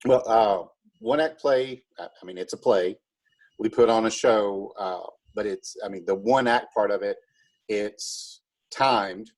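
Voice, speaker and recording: Male teacher in casual interview, calm, rather low and raspy, explanatory. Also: unhurried. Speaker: high school math teacher and drama director.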